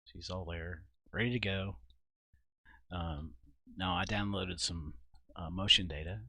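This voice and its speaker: A man with a fairly low voice talks through a screen-recorded software demo. He is informal and halting, thinking aloud as he goes. The sound is fairly dry, as recorded indoors at a computer. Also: steady tone, dry recording. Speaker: video creator and host.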